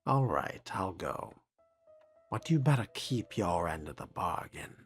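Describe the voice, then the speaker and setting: A man playing a cool young vampire fledgling in video game dialogue, speaking in a measured, deadpan, businesslike way. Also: low-pitched, breathy edge, faint music behind, exaggerated voice. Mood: resigned, firm.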